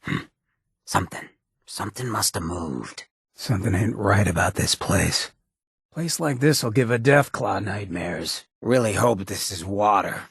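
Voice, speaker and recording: An actor playing a roguish, irreverent ghoul mayor in a video game, with a raspy voice, an American accent and a streetwise, sardonic drawl. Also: male voice, on the low side, expressive, unhurried, dry recording, clean studio sound, exaggerated voice. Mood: wary. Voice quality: raspy, gravelly. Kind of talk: video game character dialogue.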